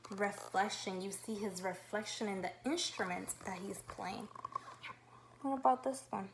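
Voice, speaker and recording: A woman's voice: medium pitch, measured pace, a little hiss or hum, low-quality recording. A woman kindergarten teacher leading a read-aloud lesson, upbeat and instructive, asking guiding questions.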